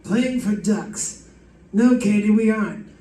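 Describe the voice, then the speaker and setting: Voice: mid-range voice, expressive, slow pace, dark tone. An actor playing a dry, puzzled homicide lieutenant bantering in an old-time radio detective drama. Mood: firm. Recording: echoey, distant mic, faint noise.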